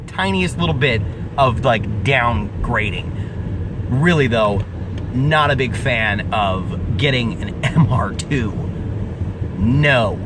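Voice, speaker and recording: Man, 20s, mid-pitched, swearing, emphatic car-vlog rant, distant mic outside with clear traffic noise. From US Pacific Northwest. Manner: profane, emphatic, repeats himself, laughs at own jokes. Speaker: car vlogger. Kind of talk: casual ranting vlog.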